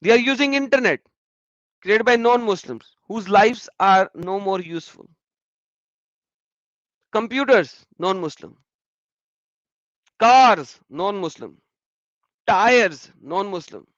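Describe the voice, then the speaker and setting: A man hosting a casual discussion, animated and repeating his phrases. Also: high voice, slow pace, long pauses, no room sound. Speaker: host. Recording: muffled lo-fi sound.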